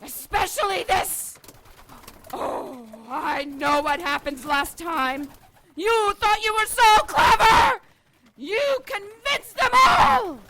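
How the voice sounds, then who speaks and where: A woman's voice: very high voice, slow, sharp tone, slight background noise, full character voice, poor audio. An actress playing a regal, cruel, paranoid serpent-woman queen in an audio drama, imperious, menacing and theatrical. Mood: taunting, vengeful.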